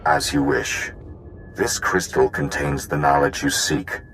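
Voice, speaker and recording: An actor playing an ancient, dying machine gatekeeper in a video game, solemn and impassive, speaking in formal, clipped reports. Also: male voice, a bass voice, expressive, a little slow, a bit bright, a little hiss or hum, full character voice.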